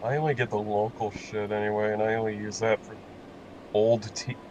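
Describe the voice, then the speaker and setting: A man's voice: lowish voice, flat delivery, brisk, highs cut off, over a noisy crowd. A man joining casual banter as a guest, sardonic in his remarks. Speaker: guest co-commentator.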